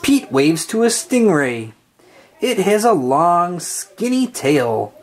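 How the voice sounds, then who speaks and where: A woman reads a picture book aloud to young children, taking her time over each line. Her voice climbs and dips through a lively, sing-song melody, with a soft, breathy edge. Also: lowish voice. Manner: slow, expressive, sing-song storybook delivery. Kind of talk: read-aloud storytelling for kids.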